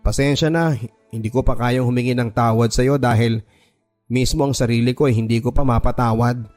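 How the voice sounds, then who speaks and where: A man's voice: mid-range voice, steady tone, brisk, a little mellow, close to an everyday voice. Man as betrayed male student, weighty stressed words, emotional, radio drama, music bed. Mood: remorseful. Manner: stressing every word. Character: angry, bitter male student who feels betrayed.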